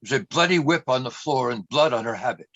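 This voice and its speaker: A man's voice: medium pitch, animated, fairly quick, fairly bright tone, no room sound. A man reading scripted stage narration for a radio play. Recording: dull, dry lo-fi recording.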